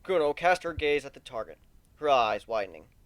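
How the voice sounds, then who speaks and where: A man's voice: medium pitch, big pitch swings, fairly quick, no echo. A man narrating a fan fiction story as a dramatic reading.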